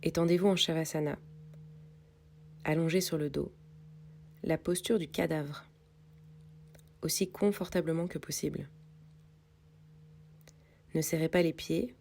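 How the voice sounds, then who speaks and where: Low, mellow woman guiding meditation. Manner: calm, soothing, unhurried instruction with pauses. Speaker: yoga nidra meditation guide. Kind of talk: guided meditation read from a script.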